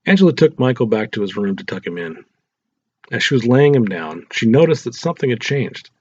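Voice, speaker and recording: Husky man reading a story aloud. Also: mid-range voice, sing-song, fairly quick, a little mellow, no echo, muffled recording. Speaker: story narrator. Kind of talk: scripted audiobook narration.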